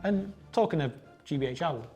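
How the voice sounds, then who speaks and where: A man's voice: fairly high pitch, lively intonation, fairly quick, dark tone, soft background music. A man co-hosting a tabletop wargaming show, chatting casually and sometimes reading out rules. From Wales. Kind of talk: casual chat with some reading out of game rules.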